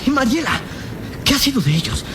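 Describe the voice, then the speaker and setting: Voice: sits high, big pitch swings, brisk. A performer playing a small, frightened boy adventurer in a radio drama, plaintive and anguished, crying out. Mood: pained, anxious. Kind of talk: Latin American radio drama acting. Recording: loud storm and water noise behind.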